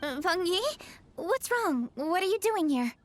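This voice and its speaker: High-voiced, composed young noblewoman. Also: animated, measured pace. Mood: concerned. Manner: polite, decisive, formal. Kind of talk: video game voice acting.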